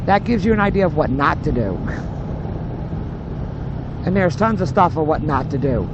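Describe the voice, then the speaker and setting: A woman's voice: low voice, expressive, moderate pace. A middle-aged woman vlogger chatting casually in a rambling, conversational way over a helmet intercom while riding a motorcycle. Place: outdoors on a moving motorcycle. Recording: muffled helmet intercom, loud road noise.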